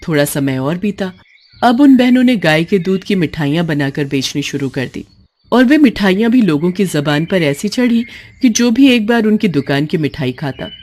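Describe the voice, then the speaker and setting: A woman narrating a scripted moral story in an expressive, emotive, dramatic way. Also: fairly low pitch.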